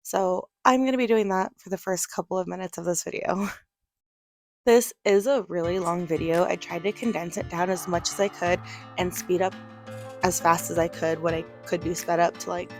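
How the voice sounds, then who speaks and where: Woman, congested, lowish voice, chatty rambling vlogger, faint background music. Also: fairly even intonation, normal speed. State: congested from a cold. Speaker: book vlogger. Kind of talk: casual voice-over chat.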